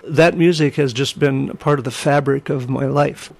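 A man's voice: mid-range voice, steady tone, moderate pace, vocal fry, no room sound. A middle-aged man, telling his story reflectively as an interview guest. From Wisconsin, United States. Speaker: interview guest, singer and musician.

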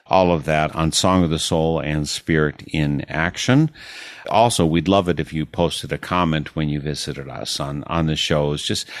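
Male community radio host, low voice, earnest, careful spelling-out, no room sound. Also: no echo, studio quality. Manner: earnest, spells out names and web addresses.

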